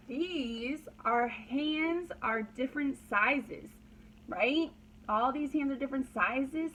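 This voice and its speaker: A woman's voice: high-pitched, drawn-out words, fairly bright tone, a little hiss or hum, poor audio. A woman pre-kindergarten teacher leading a read-aloud lesson for young children, using simple, repeated phrases and prompting questions.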